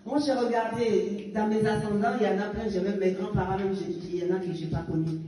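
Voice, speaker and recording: A woman's voice: mid-range voice, fairly even intonation, fast talker, mellow. A woman in her fifties giving a fervent testimony and exhortation in church, with emphatic rising bursts. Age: 50s. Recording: echoey church hall picked up from a distance.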